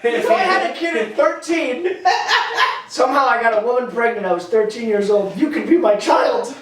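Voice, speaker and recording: A man in his thirties tells stories with a lot of energy, slipping into different voices to act out what others said. His voice sits rather high, and it sounds distant in an echoey room with faint background noise. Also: normal speed. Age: 30s. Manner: animated storyteller, acts out quotes. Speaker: podcast host. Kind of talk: casual chat.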